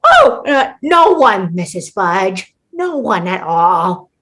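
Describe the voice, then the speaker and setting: A woman's voice: mid-range voice, very animated, slow, a bit bright, heavily acted. A woman acting in a comedic radio play as a disgruntled, petty schemer trapped in a holiday sitcom, theatrical and defiant. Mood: nervous, sardonic.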